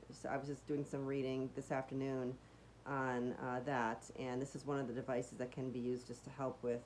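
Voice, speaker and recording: A woman with a raspy voice that sits very low talks casually at home. She meanders through her thoughts with plenty of fillers and restarts, sounding eager and impressed. A faint hiss of noise sits under her voice. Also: a little mellow, rough recording. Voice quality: raspy, deeper voice. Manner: chatty and meandering, many fillers and restarts, enthusiastic. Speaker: vlogger demonstrating a device. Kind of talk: casual home demonstration vlog.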